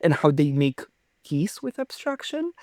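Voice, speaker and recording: A man's voice: fairly high pitch, sing-song, unhurried, dry recording, clean studio sound. A young man from Romania, a physicist guest, telling his story in a casual interview with enthusiastic, theatrical phrasing.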